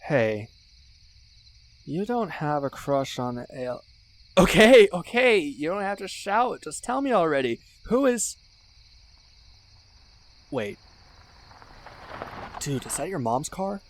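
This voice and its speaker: A young man playing a teasing, earnest, geeky best friend in a casual ASMR roleplay, rambling conversationally with musing pauses. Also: a little high, very animated, a little hiss or hum, exaggerated voice. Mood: teasing, flustered. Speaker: voice actor in an audio roleplay.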